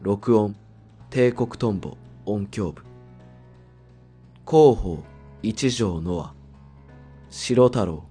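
A man reading out the end credits of a radio drama in a measured, announcing manner. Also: fairly low pitch, expressive, mellow, breathy edge, soft background music. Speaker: credits narrator.

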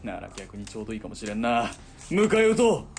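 A man's voice: sing-song, fast, soft background music. A man playing a cool, clipped military spotter. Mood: alert. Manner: clipped, composed. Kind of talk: radio drama acting. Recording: faint rumbling background noise.

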